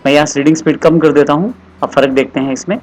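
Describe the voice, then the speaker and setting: A man narrating a step-by-step software tutorial in an explanatory, instructional way, repeating his instructions. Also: fairly high pitch, steady tone, very fast, slight background noise.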